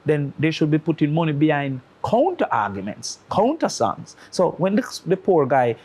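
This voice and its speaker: A man's voice: on the high side, very animated, moderate pace, darkish tone, breathy edge. Jamaican man arguing his point. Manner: argues with analogies and rhetorical questions. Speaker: studio guest. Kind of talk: opinionated talk-show commentary.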